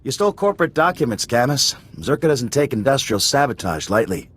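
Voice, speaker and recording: An actor playing a ruthless corporate enforcer in a video game, cold and menacing, with curt commands. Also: male voice, mid-range voice, sing-song, a little fast, a bit bright. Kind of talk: video game cutscene acting.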